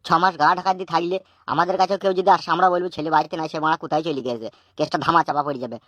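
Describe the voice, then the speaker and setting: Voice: medium pitch, steady tone, rapid-fire, fairly bright tone, no room sound, lo-fi sound, lightly acted. A performer voicing an anxious, nagging old father in a comic cartoon, grumbling and scolding. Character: exasperated, foul-mouthed old village father, anxious and nagging.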